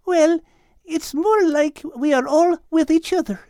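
A man voicing a sweet female dog with a gentle, polite and kindly manner in an audiobook. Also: very high voice, unhurried, no echo, studio quality, pitched above the performer's own voice. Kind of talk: animated audiobook character reading.

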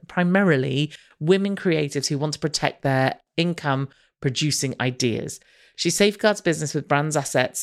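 Woman podcast host, chatty and upbeat, slight croak in the voice. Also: deep voice. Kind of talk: casual podcast monologue and interview.

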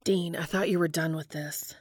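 A caring, steady man in an audiobook story, voiced by a woman reader. He speaks gently and earnestly in a slightly low register. The sound is dry and close. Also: moderate pace, slightly rough, no room sound. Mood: concerned. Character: caring adult man, concerned steady brother.

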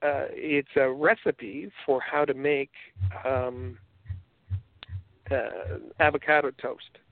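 A man's voice: mid-range voice, little pitch movement, no echo. Rambling Canadian man on a tinny line. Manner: rambling, halting with many restarts. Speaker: podcast co-host. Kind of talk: casual chat.

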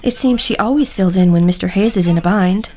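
An actress playing a kindly, discreet housekeeper in an audio drama, speaking gently and carefully. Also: female voice, mid-range voice, fairly quick, close to an everyday voice. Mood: awkward, sympathetic.

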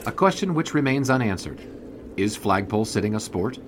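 Clear ambient noise sits behind a man playing a 1920s sports writer. His voice is on the low side, and he narrates the audio drama in a dry, bemused, formal manner. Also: big pitch swings, brisk. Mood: wry, bemused. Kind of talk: scripted first-person narration in audio drama.

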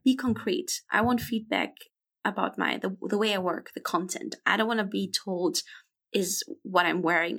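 A woman's voice: mid-range voice, vocal fry, a bit bright. A woman in her twenties, a podcast guest talking frankly and reflectively in a casual interview. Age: 20s.

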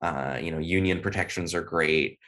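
Relaxed deep-voiced American man. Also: moderate pace. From United States. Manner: relaxed, thoughtful, with frequent "uh" and "you know". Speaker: podcast guest, game writer. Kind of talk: casual interview talk.

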